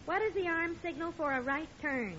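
A woman playing an exasperated, sharp-witted American wife and mother in a 1950s radio sitcom, dry and reproving. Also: very high voice, moderate pace. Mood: testing, impatient. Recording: dull old radio recording, faint noise.

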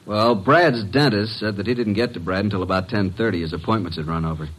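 An actor playing a breezy, wisecracking tough detective's assistant in an old-time radio drama, brisk and slangy in his delivery. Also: lowish voice, fairly bright tone, rough recording. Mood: reporting briskly.

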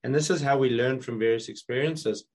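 A hoarse man with a croaky voice, a guest speaker on a video call, telling stories in casual conversation and often restarting his sentences. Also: medium pitch, brisk, darkish tone. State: hoarse, recovering from illness. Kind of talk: casual interview chat.

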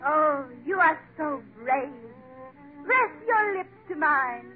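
An actress playing a swooning, adoring, melodramatic screen lover in a 1940s radio comedy. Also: female voice, high voice, expressive, slow pace, faint music behind. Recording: tinny old radio recording.

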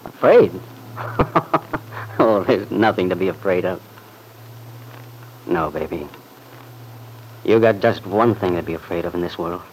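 An actor playing a jealous, hot-blooded young husband in an old-time radio drama, brooding and intense, talking in plain colloquial speech. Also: male voice, deep voice, animated, a little slow, long pauses. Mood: brooding jealousy. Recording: noisy vintage radio recording.

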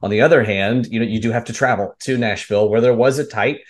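A man's voice: medium pitch, fast talker. A man hosting a conversational sports interview, laying out long analytical questions. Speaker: host.

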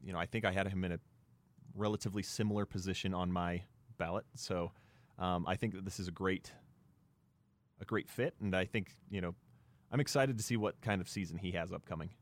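Low-voiced man talking sports. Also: fairly even intonation, fairly quick. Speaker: sports show co-host. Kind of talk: conversational sports analysis.

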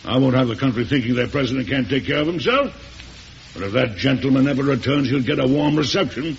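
An actor playing a proud, stubborn older American president in a folksy, emphatic old radio drama style. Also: male voice, medium pitch, a little fast, creaky, natural voice. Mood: defiant. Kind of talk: 1940s radio drama acting, narrating and playing. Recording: hissy, dull old radio recording.

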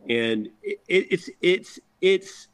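A man's voice: sits high, expressive, slow, smooth. A middle-aged man from a rock band chatting casually in an interview, enthusiastic and slangy, often repeating his words.

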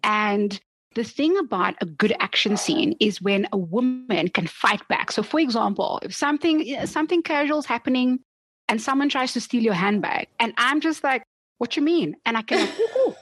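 A woman's voice: fairly high pitch, normal speed, breathy edge, dry recording. A South African woman whose first language is Afrikaans, chatting as an interview guest in a playful, laughing way. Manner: lively and playful, laughs often. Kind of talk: casual interview chat.

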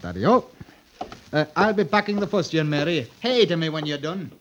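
Busy shopkeeper for radio drama, male actor: highish, very lively, folksy, obliging, dulled vintage sound. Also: moderate pace, lightly acted. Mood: brisk, obliging. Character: brisk, chatty male clothing-factory shopkeeper in old England. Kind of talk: classic radio drama acting. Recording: muffled, noisy old radio broadcast.

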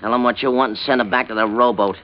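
An actor playing a crook's blunt, slangy, sardonic sidekick in an old-time radio drama. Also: male voice, medium pitch, steady tone, quick pace, natural voice. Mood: sardonic, impatient. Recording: dull, band-limited old radio recording.

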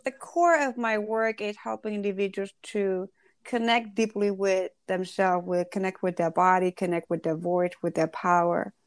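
Woman, 40s, from Ecuador, intimacy coach, earnest, reflective, smooth clear voice, dry close sound. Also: mid-range voice, fairly even intonation, normal speed, dry recording. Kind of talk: podcast interview guest.

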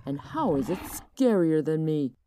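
Lady doing a growly boastful tiger for a kids story, low n slow, noisy background. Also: very animated, dark tone. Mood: indignant, puzzled. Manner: growling, dramatic, drawn-out delivery. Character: proud, hungry, easily frightened male tiger. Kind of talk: children's storybook character voice.

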